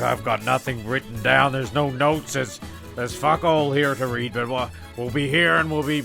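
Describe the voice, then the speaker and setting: A man narrating a comedy audio show as host, improvising in a halting, repetitive, rambling way. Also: mid-range voice, vocal fry.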